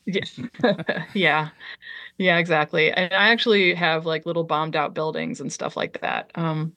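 Woman, artist guest, casual interview, hesitant, slightly low voice. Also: expressive, unhurried, pushed and bright. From Liberia. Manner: hesitant, full of ums and likes, trailing sentences.

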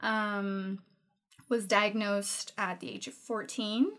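A woman's voice: medium pitch, fairly even intonation, slow, a bit bright. Danish girl in her twenties, podcast guest, open and chatty, lots of little laughs. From Denmark. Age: 20s. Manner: open, frank, laughs often between thoughts. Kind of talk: casual personal conversation.

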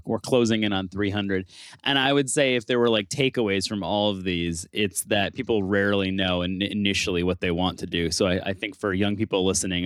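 A man's voice: low voice, no room sound, studio quality. A man hosting a preservation interview podcast, chatting loosely with fillers and restarts. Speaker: podcast host. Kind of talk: casual interview chat.

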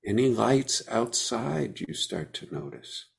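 A man leading a guided meditation, speaking gently with unhurried pauses between phrases. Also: mid-range voice, sing-song, gravelly.

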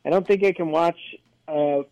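A man reading trailer summaries on a casual panel podcast and cracking sarcastic jokes about them. His voice sits a bit high, and the recording is dry with no room sound. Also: fairly even intonation, normal speed, warm tone, dry recording, muffled recording. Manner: sarcastic and jokey.

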